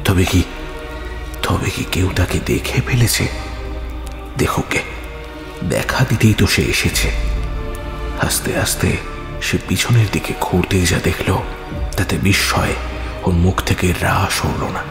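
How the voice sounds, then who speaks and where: A man's voice: low voice, a little slow, pauses often. A man narrating a scripted horror audio story in a theatrical, suspenseful manner. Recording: reverberant hall effect with music behind. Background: music.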